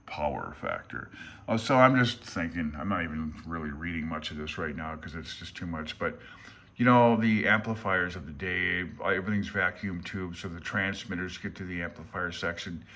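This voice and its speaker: A man's voice: deep voice, moderate pace, sharp tone. A man giving a casual, rambling commentary on a book, plain-spoken and self-deprecating, speaking as a hobbyist rather than an expert. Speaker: amateur radio hobbyist and vlogger. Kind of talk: casual rambling commentary while paging through a handbook.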